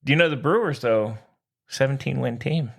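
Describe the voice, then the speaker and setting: A man co-hosting a sports podcast, bantering casually in an excitable, boastful, slangy way. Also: mid-range voice, normal speed, creaky. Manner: excitable, whooping, slangy Yankees-fan boasting.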